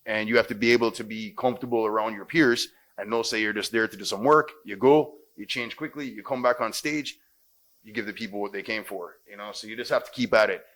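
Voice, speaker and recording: A man with a Jamaican accent and a deep, smooth voice, an actor and radio host chatting casually in an interview with many "you know"s. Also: a bit bright, rough recording.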